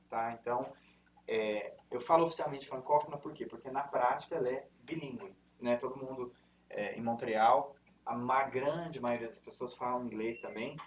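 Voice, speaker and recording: A man in his thirties with an interior São Paulo accent, giving an informal presentation to students in a lecture room, chatty and full of fillers and self-corrections. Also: mid-range voice. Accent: interior São Paulo (caipira R). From Brazil, interior of São Paulo state. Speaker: university representative giving a presentation. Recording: distant mic, faint room noise.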